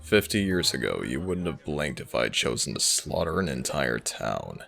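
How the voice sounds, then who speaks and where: A man playing a world-weary, centuries-old werewolf alpha in an audio drama, brooding and sardonic, with a gravelly voice. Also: big pitch swings, fairly bright tone, soft background music, full character voice. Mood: mocking. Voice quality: very deep, gravelly. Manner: slow, brooding, deliberate. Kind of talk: dramatic audio roleplay acting.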